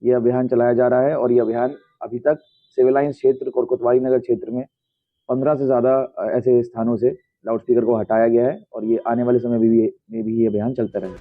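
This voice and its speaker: A man's voice: mid-range voice, flat delivery, fast talker, dry recording. A male police official giving a formal, slightly hesitant press statement. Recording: thin phone-like narrow-band audio.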